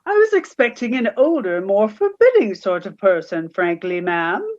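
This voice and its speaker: Lively, swooping hotel receptionist played by a woman. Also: medium pitch, normal speed, dull audio, close to an everyday voice. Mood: fawning, surprised. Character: chatty, deferential hotel receptionist, politely nosy. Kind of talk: radio play acting.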